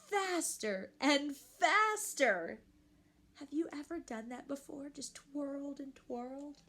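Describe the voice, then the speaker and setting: A woman reading a picture book aloud to young children, expressively and with questions to the listeners. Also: sits high. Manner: animated, slow and deliberate, addressing young children.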